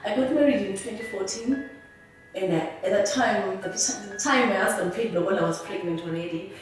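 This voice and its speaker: Zimbabwean woman telling her story, stops and restarts a lot, kinda far from the mic, faint music behind. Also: mid-range voice. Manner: halting and earnest, often restarting words. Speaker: interviewee. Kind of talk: personal testimony. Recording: very echoey room, voice from a distance.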